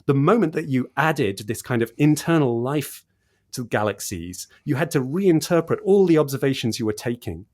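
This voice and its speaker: A man's voice: mid-range voice, animated, moderate pace, a little mellow. A man explaining science in conversation as an interview guest, with an enthusiastic manner and repetition for emphasis. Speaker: podcast guest, cosmologist explaining research.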